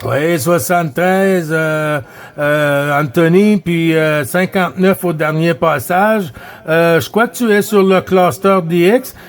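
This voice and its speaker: A man with a notably high voice and a Quebec French accent runs a CB radio round. He talks casually, often repeating himself as he hands over the microphone. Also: slow. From Quebec, Canada. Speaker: CB radio operator running the round.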